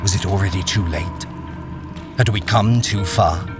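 A man's voice: low-pitched, measured pace. An actor playing a haunted miner, narrating his ordeal in a brooding, suspenseful radio-drama style. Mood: dread. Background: music.

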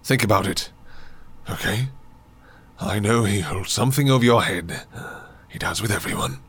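A male actor plays an old mine owner from a radio drama, obsessive and insistent. His voice is rough and husky, fairly low, and he pleads and repeats himself as though his whole life hangs on it. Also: moderate pace, fairly bright tone. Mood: urgent, pleading. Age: older.

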